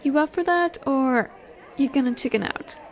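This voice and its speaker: A woman acting a high-pitched, teasing alpha she-wolf. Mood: intrigued, seductive. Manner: teasing, sultry, unhurried with drawn-out pauses. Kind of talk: roleplay audio acting addressed to the listener. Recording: bar chatter in the background.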